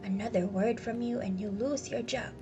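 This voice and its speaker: A woman's voice: fairly high pitch, fairly even intonation, normal speed, music in the background, natural voice. A woman voicing a cold, mean old miser in an audiobook, curt, scornful and emphatic. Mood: angry, dismissive.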